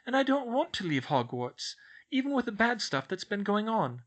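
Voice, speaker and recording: A man's voice: high voice, big pitch swings, normal speed, bright, forceful tone, pitched above the performer's own voice, heavily acted. A man voicing an earnest, anxious young schoolgirl who speaks politely and hesitantly.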